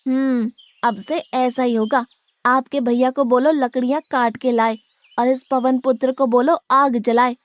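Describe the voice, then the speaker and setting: A woman plays a simple-hearted but greedy Brahmin cook in a cartoon moral tale. The voice sits very high. The delivery is blunt, bossy and full of complaint. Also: fairly even intonation, measured pace, no echo. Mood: bossy, impatient. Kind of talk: Hindi cartoon moral-story acting.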